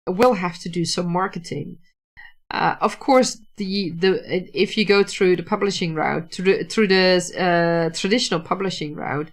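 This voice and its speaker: A Dutch woman speaking English as a second language, talking casually about writing on a livestream with many restarts and fillers. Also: fairly low pitch. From Netherlands. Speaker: writer hosting a livestream.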